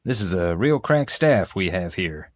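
A man's voice: medium pitch, moderate pace, no echo, studio quality, pitched above the performer's own voice, lightly acted. Man voicing a sarcastic, irritated boss. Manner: sarcastic, grumbling. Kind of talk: audiobook character voice.

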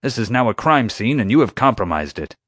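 Man acting a pompous, gruff security chief. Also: mid-range voice, very animated, a little fast, a bit bright, no room sound, clean studio sound, voice pushed up. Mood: officious, annoyed. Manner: officious, curt, commanding. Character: pompous, gruff, territorial male theme park security chief. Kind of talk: audiobook character dialogue.

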